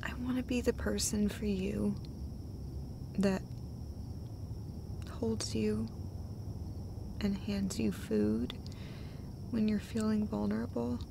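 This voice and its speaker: Woman acting as devoted girlfriend, slow pace, halting and breathy, tender, self-deprecating, intimate romantic roleplay, slight background noise. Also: medium pitch, level tone. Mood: tender, earnest. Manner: halting, breathy phrases broken by small laughs. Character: tender, emotional, self-deprecating girlfriend comforting her partner.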